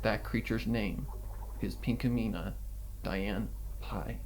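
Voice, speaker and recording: A man reading a horror story in a dramatic, deliberate scripted narration. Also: lowish voice, gravelly, darkish tone, low-quality recording. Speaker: fan fiction reader. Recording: music behind the reading. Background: background music.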